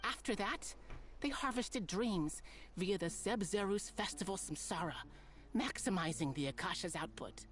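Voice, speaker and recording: A woman's voice: medium pitch, animated, moderate pace, a little hiss or hum, natural voice. An actress playing a frightened captive in a video game, hesitant but earnest as she explains. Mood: resigned. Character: frightened female captive confessing a secret plot.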